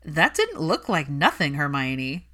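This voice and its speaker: A woman's voice: deep voice, huge pitch swings, unhurried, a bit bright, exaggerated voice. A woman voicing a stubborn, frank young witch in a story reading, direct and probing. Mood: disbelieving.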